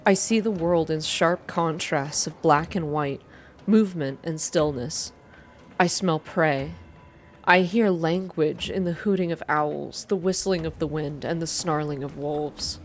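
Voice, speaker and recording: A woman reading first-person story narration aloud in a soft, hushed, measured ASMR style. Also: sits low, a little hiss or hum. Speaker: narrator reading a visual novel game aloud.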